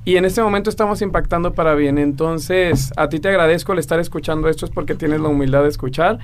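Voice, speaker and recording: Motivational coach guy in his 20s, upbeat, a bit high, light hiss. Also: fast talker. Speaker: guest, personal coach. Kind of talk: casual podcast chat.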